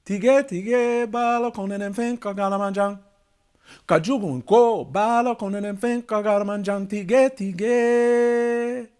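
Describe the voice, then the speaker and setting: A man's voice: high-pitched, steady tone, measured pace, darkish tone, smooth, pitched above the performer's own voice. A man voicing an enchanting bird from a folktale, singing a rhythmic, repeated chant. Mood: enchanting song.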